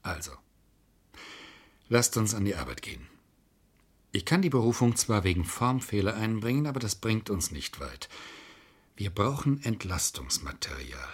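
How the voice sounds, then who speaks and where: Radio crime drama: cynical male defence lawyer, low husky voice, sardonic and argumentative. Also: sing-song, moderate pace, long pauses. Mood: businesslike, dry. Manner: sardonic, argumentative, matter-of-fact. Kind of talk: German radio crime play acting.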